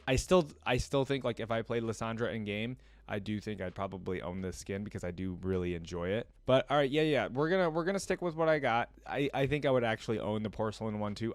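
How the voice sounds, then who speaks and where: A man's voice: medium pitch, clear, resonant tone, no echo. Casual man reacting and thinking aloud. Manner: conversational, thinking aloud, often musing to viewers. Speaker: reaction video host. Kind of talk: casual reaction commentary, reading wiki text aloud.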